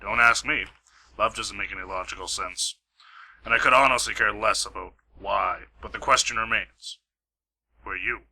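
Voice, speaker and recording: Gravelly, forceful hard-nosed interrogator acted by a man. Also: lowish voice, normal speed, heavily acted, low-quality recording. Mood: demanding. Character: blunt, hard-nosed male police interrogator. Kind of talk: amateur radio play acting.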